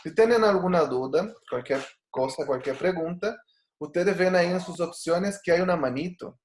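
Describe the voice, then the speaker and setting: A man's voice: high voice, normal speed, reverberant. A man from Brazil, a native Portuguese speaker, teaching an online Bible study over a video call. He talks earnestly, with frequent fillers and restarts.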